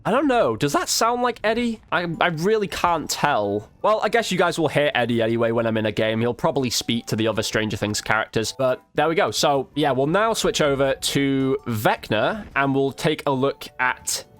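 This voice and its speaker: A man's voice: mid-range voice, animated, normal speed, a bit bright. A man giving casual, slangy gameplay commentary as a video creator, thinking aloud as he goes.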